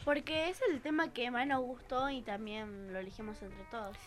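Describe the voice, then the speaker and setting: Schoolgirl, about ten, short eager answers, high voice. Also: steady tone, normal speed. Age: child, about 10. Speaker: student guest. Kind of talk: casual chat, answering questions.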